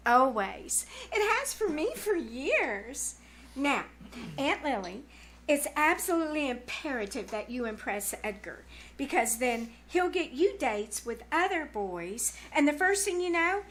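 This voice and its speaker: A woman's voice: sits high, very animated, unhurried, fairly bright tone, a little hiss or hum, exaggerated voice. A woman playing a bossy, scheming teenage girl in old-time radio comedy style, emphatic and wheedling. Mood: persuasive. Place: live on a small theater stage.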